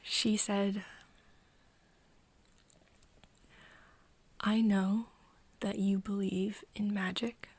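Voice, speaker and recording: A woman playing an earnest young woman confiding in a friend in an ASMR roleplay, speaking softly and haltingly, often in a whisper. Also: mid-range voice, no room sound. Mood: solemn, hushed. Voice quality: soft, breathy, often whispering. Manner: halting, hesitant confiding with pauses. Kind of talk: ASMR roleplay, intimate one-sided conversation.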